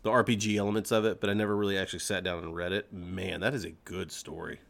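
A man co-hosting a podcast, chatting casually in an excitable, rambling way. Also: low-pitched, fast talker, vocal fry. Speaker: podcast co-host. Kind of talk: casual group chat.

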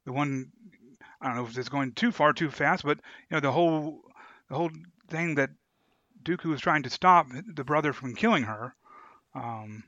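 A man's voice: a little high, moderate pace, pushed and bright, dry recording, muffled recording. A man co-hosting a podcast in a casual book discussion, hesitant and often searching for words. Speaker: podcast co-host.

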